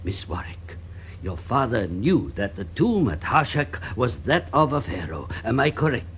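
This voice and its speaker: A man's voice: mid-range voice, big pitch swings, unhurried, fairly bright tone, a little hiss or hum. A man acting in a radio drama as a courteous, insistent police inspector with an Egyptian Arabic accent and formal, probing speech. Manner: formal, probing, non-native English phrasing.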